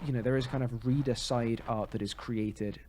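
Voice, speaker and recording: Mid-pitched male podcaster, noisy recording. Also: fairly even intonation, moderate pace, a little mellow. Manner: hesitant, rambling, self-correcting. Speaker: podcast co-host. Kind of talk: casual opinionated discussion.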